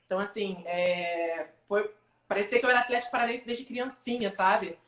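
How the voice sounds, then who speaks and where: A young adult Brazilian woman, a sports announcer, telling animated stories in a casual live-stream interview. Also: mid-range voice, roomy sound. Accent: Brazilian Portuguese. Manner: animated storyteller, quick asides. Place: home, online video call.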